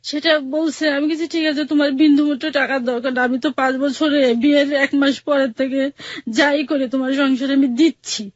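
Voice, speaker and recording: Female interview guest, Bangladeshi accent, high-pitched, plaintive, halting delivery, muffled audio. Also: fairly even intonation, dry recording. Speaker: interview guest telling her life story. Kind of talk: personal account in colloquial speech.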